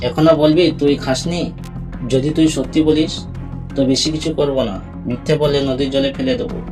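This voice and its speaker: A man voicing a grieving, vengeful father goat in a cartoon animal fable, speaking in a measured, stern way. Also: on the high side, fairly even intonation, over music, lightly acted. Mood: accusing.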